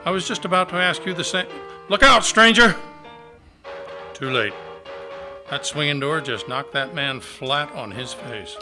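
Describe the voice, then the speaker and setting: A man's voice: on the high side, expressive, a little slow, long pauses, bright, forceful tone, exaggerated voice, rough recording. A man playing a resolute masked Western hero in an amateur old-time radio play. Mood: resolute, alert. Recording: live club performance with music behind.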